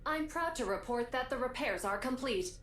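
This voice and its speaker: High, echoing dutiful helper. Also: female voice, lively intonation, moderate pace, a bit bright. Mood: proud, formal. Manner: formal, announcing. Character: proud, dutiful helper reporting repairs. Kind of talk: scripted video game voice acting. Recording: echoey, faint rumbling noise.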